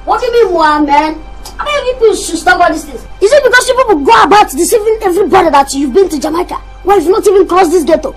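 Someone doing a cheeky young guy, super high voice, loud music behind. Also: expressive, fast, few pauses, poor audio. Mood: defiant. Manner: boastful, bickering, pleading. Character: cheeky young man chasing his brother's girlfriend.

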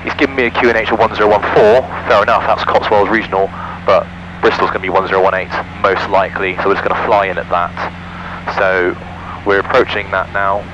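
Calm young man in a cockpit. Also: fairly low pitch, a little fast, dull audio, noisy background. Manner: calm, methodical checklist talk. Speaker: pilot. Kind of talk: flight vlog commentary. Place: small aircraft cockpit. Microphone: headset boom mic.

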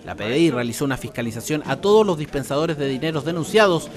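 A man's voice: on the high side, animated, fast, a crowd in the background. A man with a Chilean accent narrating a scripted television news report.

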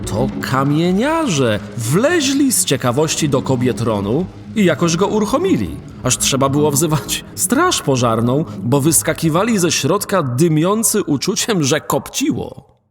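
Male narrator for an amateur audio drama, very lively pitch, expressive reading, faint music under it. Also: a little slow. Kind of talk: storytelling narration of a radio play.

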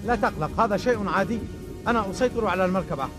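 A man's voice: high voice, racing, over loud music, close to an everyday voice. An actor playing a cocky, suspicious male soldier in a dubbed action cartoon, brusque and instructing. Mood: confident, wary. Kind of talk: animated action series dub.

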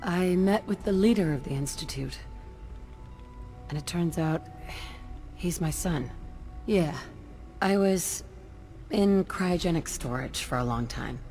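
A woman's voice: on the low side, animated, slow pace, pauses often, mellow, soft background music. An actress playing a hard, ruthless woman commander in a video game, blunt and unsentimental. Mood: firm. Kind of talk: dramatic video game dialogue.

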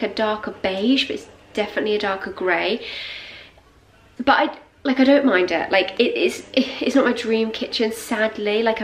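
A woman's voice: mid-range voice, a bit bright, slight background noise, low-quality recording. A young British woman with a stuffy head cold, chatting to camera in a casual home vlog, rambling with lots of fillers, filmed at arm's length in her kitchen. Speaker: lifestyle vlogger. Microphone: handheld camera at arm's length.

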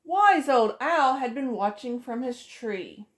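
A woman's voice: sits high, lively intonation, takes it slowly. A middle-aged woman, a preschool teacher, reading a picture book aloud to children in a sing-song way, voicing each character, recorded close up in a small room.

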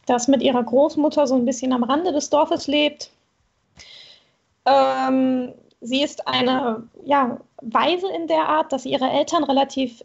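High, creaky, husky woman's voice. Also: a little mellow. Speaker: stream guest and co-writer. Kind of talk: casual explanation. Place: room at home, video call. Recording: slightly dull online call audio.

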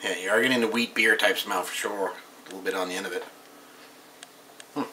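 Thirties guy, casual home reviewer, bright-toned, loose and rambling delivery. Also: deep voice, steady tone, a little fast, a little hiss or hum, rough recording. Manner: rambling, offhand, reads label aloud. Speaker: beer reviewer. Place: small room at home, indoors.

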